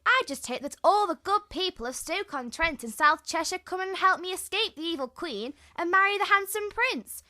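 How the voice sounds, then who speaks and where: Girly woman doing a panto princess, sweet n earnest, high bright voice. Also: expressive, moderate pace, few pauses, heavily acted. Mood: sweet, hopeful. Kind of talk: amateur pantomime acting.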